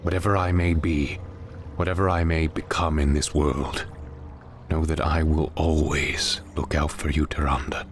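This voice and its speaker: A man's voice: rumbling low, flat delivery, unhurried, noisy background. A man voicing a proud, brooding outcast warrior in a solemn, dramatic way for a video game. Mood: resolute. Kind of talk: video game cutscene voice acting.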